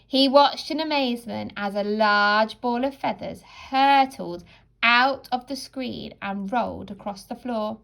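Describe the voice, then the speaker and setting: A woman narrating a children's storybook like a teacher, in a dramatic, deliberate and expressive way. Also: a little high, gravelly, low-quality recording.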